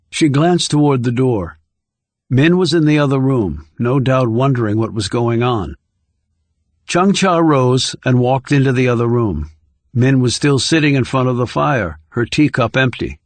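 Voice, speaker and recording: A man narrates a thriller audiobook in a mid-pitched voice. The delivery is scripted storytelling, recorded dry with no room sound. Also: no echo.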